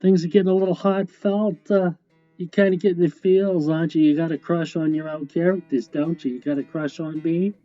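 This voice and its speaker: A man putting on a teasing young woman, much higher. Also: fairly even intonation, fairly quick, warm tone, close to an everyday voice. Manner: playful, teasing. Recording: dull lo-fi sound, faint guitar.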